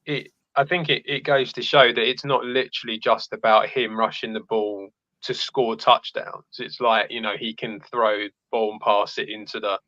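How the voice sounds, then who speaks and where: Bright, forceful man, dull dry audio. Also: on the low side, steady tone, moderate pace, no room sound. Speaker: podcast panelist. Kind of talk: casual sports analysis.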